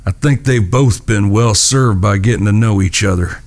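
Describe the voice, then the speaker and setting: A man's voice: on the low side, normal speed, close to an everyday voice. Guy acting a serious protective cowboy, earnest, audio drama. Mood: resigned, gentle. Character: grave, protective cowboy outlaw, eldest-brother type.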